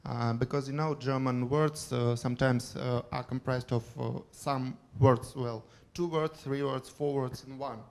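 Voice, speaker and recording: A man from the audience asking a question at a conference, pausing often between words. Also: mid-range voice, steady tone, a little mellow. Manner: hesitant, many pauses between words.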